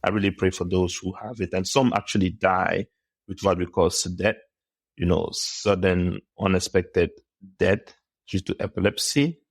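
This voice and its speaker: A middle-aged man from Cameroon, a podcast guest speaking in a thoughtful, measured way. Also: deep voice, fairly even intonation, gravelly, dry recording. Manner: thoughtful, measured, with pauses and restarts. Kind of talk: conversational interview.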